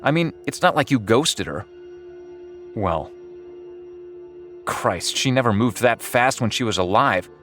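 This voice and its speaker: A man's voice: mid-range voice, lively intonation, measured pace, pauses often, fairly bright tone. A man reading scripted second-person horror-comedy narration in a wry, dramatic storytelling manner. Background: music under the voice.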